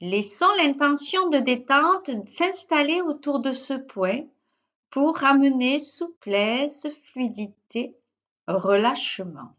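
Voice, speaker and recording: A woman guiding a yoga nidra relaxation as a teacher, in short, measured instructional phrases with pauses. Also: sits high, lively intonation, a bit bright. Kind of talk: guided yoga nidra meditation.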